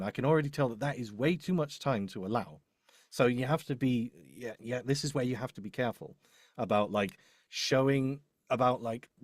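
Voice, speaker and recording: A man's voice: medium pitch, dry recording, clean studio sound. A man giving casual professional advice as a demo producer in a live discussion, often correcting himself and repeating phrases. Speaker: voice-over demo producer and host.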